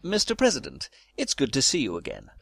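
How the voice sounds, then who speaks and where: A man's voice: medium pitch, huge pitch swings, brisk, creaky, slight background noise. A man playing a pompous, sentimental British statesman in a comedic audio drama, speaking formally and effusively in a British accent. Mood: courtly. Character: pompous, sentimental British male statesman, childlike teddy lover.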